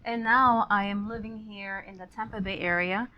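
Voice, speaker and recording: A woman's voice: mid-range voice, steady tone, normal speed, slight background noise. A woman in her forties, from Honduras and raised in Miami, a real estate agent chatting as an interview guest and laughing often. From Honduras, grew up in Miami. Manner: warm, laughs often, some fillers. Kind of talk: casual interview chat. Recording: slightly dull lo-fi sound.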